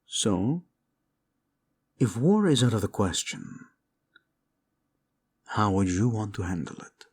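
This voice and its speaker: A man playing a devoted, protective prince in a romantic audio roleplay, speaking intimately with formal phrasing, Spanish endearments and a husky voice. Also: lowish voice, very animated, takes it slowly, heavily acted. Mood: tender, soothing. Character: devoted, protective young prince, tender lover.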